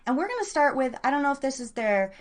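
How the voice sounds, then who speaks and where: A woman's voice: sits high, big pitch swings, fast talker. A woman hosting a live online radio show, chatting casually in an informal, slangy, rambling way straight to her listeners.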